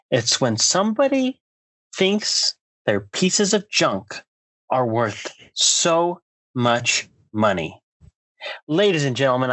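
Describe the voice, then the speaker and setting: An American man hosting a podcast, delivering a comedic rant in a theatrical, mock-announcer way with drawn-out emphasis. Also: mid-range voice, fairly bright tone, no room sound, rough recording. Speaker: podcast host.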